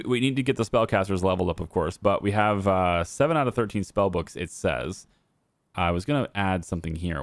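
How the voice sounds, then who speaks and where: A man with a hoarse voice that he says he is losing, thinking aloud in casual let's-play commentary as he plays a game. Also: sits low. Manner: thinking aloud, muttering to himself and the viewers. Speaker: gaming YouTuber. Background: game music.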